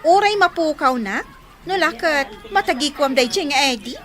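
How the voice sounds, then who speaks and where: Very high, spoiled Ilocana daughter. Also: female voice, lively intonation, normal speed. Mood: annoyed, teasing. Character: spoiled, defiant student daughter with a romantic streak. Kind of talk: Filipino radio drama acting.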